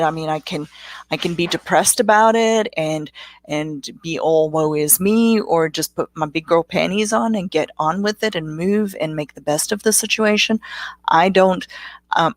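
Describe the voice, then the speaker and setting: A woman's voice: low-pitched, no room sound. Motivational middle-aged woman guest. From South Africa. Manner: motivational, reflective. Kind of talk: casual interview answers.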